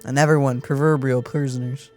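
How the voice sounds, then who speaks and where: A woman's voice: a bass voice, steady tone, fairly quick, a little mellow, faint music behind. A woman co-hosting a game reaction video, chatting casually with playful jokes. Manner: playful, jokey, scats nonsense sounds.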